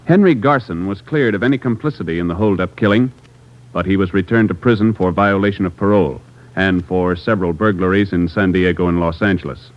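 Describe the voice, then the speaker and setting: A man narrating in a steady, official 1950s radio style, announcing case outcomes and credits. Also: deep voice, brisk. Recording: dull lo-fi old radio recording.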